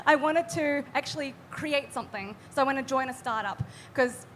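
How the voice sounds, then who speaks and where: Young woman from New Zealand, high-pitched, earnest live-panel guest; faint background hum. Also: moderate pace, fairly bright tone. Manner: chatty, earnest, many fillers and restarts. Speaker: artist guest. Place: live event stage.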